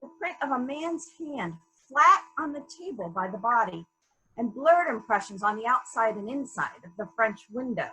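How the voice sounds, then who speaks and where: A woman's voice: a little high, animated, normal speed, sharp tone, soft background music, rough recording. A woman playing a probing, methodical female police detective in a dramatic reading of a murder mystery, musing and questioning as she goes. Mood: skeptical. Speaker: actor in a library's dramatic reading.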